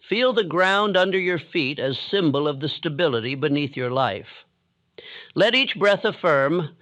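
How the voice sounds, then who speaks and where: A man reading scripted self-help narration for sleep in a calm, steady, measured rhythm, with a solemn, formal delivery. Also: fairly high pitch, no room sound, dull audio. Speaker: audiobook-style narrator.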